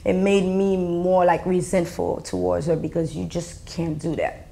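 A woman's voice: fairly low pitch, steady tone, normal speed, gravelly, mellow. A young Haitian woman with a Haitian accent, talking earnestly as an interview guest in an indoor studio. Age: young adult. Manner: earnest, switching between English and Haitian Creole. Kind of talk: candid conversational interview.

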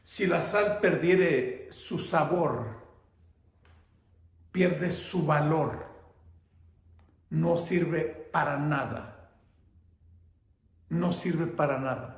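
A man in his fifties, a pastor with a northern Mexican Spanish accent, preaching slowly and emphatically from his home, repeating key words. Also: fairly high pitch. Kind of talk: sermon. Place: home living room, indoors. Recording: roomy echo.

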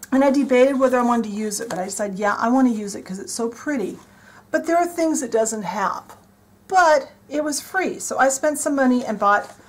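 A woman casually narrating as she decorates her planner, chatty and enthusiastic, thinking aloud as she works at a desk. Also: on the high side. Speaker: planner vlogger. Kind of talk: casual show-and-tell narration. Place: indoors at a desk.

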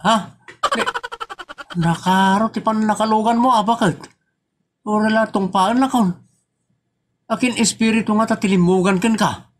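A man's voice: sits high, steady tone, normal speed, long pauses, close to an everyday voice. A man playing a worried young husband in a comedy drama, questioning insistently with an Ilocano accent. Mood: accusing.

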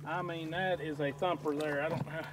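A man's voice: fairly high pitch, normal speed. Folksy middle-aged man fishing from a boat. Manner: folksy, excited bursts while landing fish. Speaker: fishing vlogger. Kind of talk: casual fishing commentary. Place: small boat on open lake, outdoors. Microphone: wide-angle camera mounted at the boat's stern. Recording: outdoor boat recording with wind and water noise.

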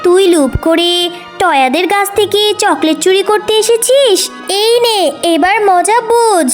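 A woman's voice: very high voice, unhurried, soft background music. A woman voicing a stern magical talking tree with a threatening manner in a children's cartoon. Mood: stern threat. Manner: threatening, punishing.

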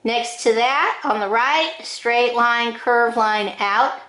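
An older woman giving step-by-step drawing instructions in short, deliberate phrases with frequent pauses, recorded in an echoing room. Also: on the high side, a bit bright. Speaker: drawing teacher. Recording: roomy, echoing indoor sound.

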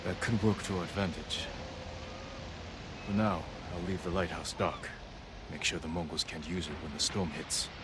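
An actor playing a deep-voiced stoic samurai. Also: male voice, background noise, natural voice. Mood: grave. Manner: calm, measured, low. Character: stoic samurai lord, grave and measured. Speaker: game character.

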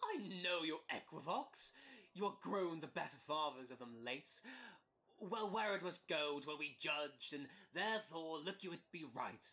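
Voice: medium pitch, animated, normal speed, sharp tone. An actor playing a scheming, mock-courtly, goading swindler in an early modern comedy audio drama. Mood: needling, bossy. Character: scheming, fast-talking con man flattering gentlemen, bullying merchant.

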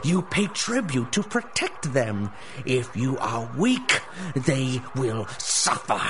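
An actor playing a menacing, mock-merciful lord of the rats in a radio drama, pompous and taunting. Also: male voice, medium pitch, sing-song, noisy background. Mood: cold threat. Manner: pompous, taunting, drawn-out questioning. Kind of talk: 1930s-style radio drama acting.

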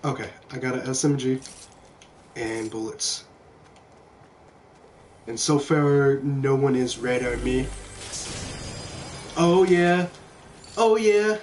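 A man's voice: mid-range voice, takes it slowly, long pauses, a little mellow. A young man giving casual, laid-back gameplay commentary with self-deprecating, sweary remarks, recorded at home on a headset. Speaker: video game streamer. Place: indoor room at home. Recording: clearly noisy home stream recording.

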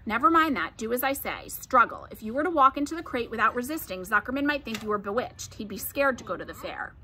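A woman's voice: fairly high pitch, expressive, fast talker, bright, forceful tone, a little hiss or hum. A woman voicing a bossy, shrewd old female sheep in a storybook, giving commanding, urgent advice. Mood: urgent, conspiring.

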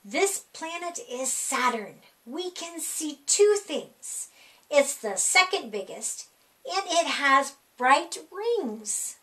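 A middle-aged woman reading a rhyming children's picture book aloud in an animated, sing-song, deliberate way for young kids. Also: sits high. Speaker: library storytime reader. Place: small indoor room.

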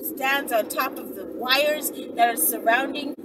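A woman's voice: high-pitched, a little slow. A woman in her thirties telling a story dramatically and off the cuff, as a vlogger talking in a car. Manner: dramatic, acting out quoted lines. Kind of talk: animated improvised storytelling. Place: inside a car, driving. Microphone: dashboard camera at arm's length. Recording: noisy car, mic at a distance.

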